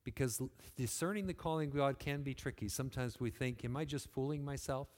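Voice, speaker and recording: A man from West Michigan preaching a sermon in a church, earnest, pushing phrases up and then letting them fall quiet. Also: mid-range voice, fairly quick. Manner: earnest, rising and falling emphasis, quiet closing phrases. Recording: long hall reverb.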